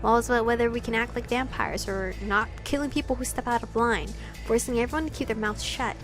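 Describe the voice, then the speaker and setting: An angry young vampire rebel from a visual novel, read by a woman in a high voice. The delivery is accusing and insistent, full of passion, with music playing behind it. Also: steady tone, brisk, natural voice. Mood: impassioned.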